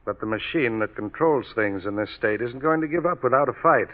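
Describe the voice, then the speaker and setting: An actor playing an earnest middle-aged reform politician in a 1930s radio drama, speaking in a measured way. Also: male voice, medium pitch, expressive, lightly acted. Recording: dull old radio recording.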